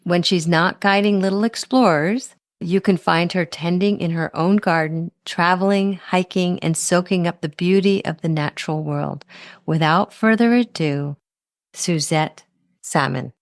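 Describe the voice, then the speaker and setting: A woman's voice: a little slow, no room sound, clean studio sound. A middle-aged woman hosting a podcast, reading a guest introduction and then chatting with enthusiasm. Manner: enthusiastic, with sudden high lifts in pitch. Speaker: podcast host.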